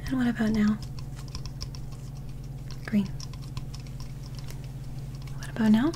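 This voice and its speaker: Young woman, soft unhurried whisper, slightly high voice, ASMR medical examiner, close-miked with clear background noise. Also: steady tone. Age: young adult. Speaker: ASMR performer playing an examiner. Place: small indoor set. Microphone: close microphone.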